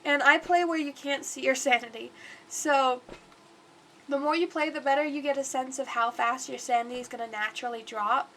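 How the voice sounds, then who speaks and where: A woman's voice: high voice, fairly even intonation, moderate pace, fairly bright tone, slight background noise. A congested woman livestreaming, chatting casually and rambling through gameplay commentary. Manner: chatty and rambling, talks to the game aloud.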